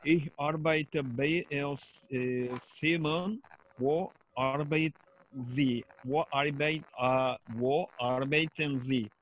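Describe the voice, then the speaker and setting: A man's voice: fairly high pitch, steady tone, drawn-out words. A man with a Turkish accent, a language student hesitantly practising a dialogue word by word. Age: 40s. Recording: noisy online call with clicks.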